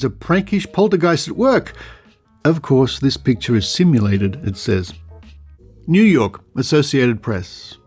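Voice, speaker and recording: A man's voice: medium pitch, creaky, a little mellow. An Australian man hosting a podcast, reading aloud with asides and theatrical emphasis. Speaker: podcast host.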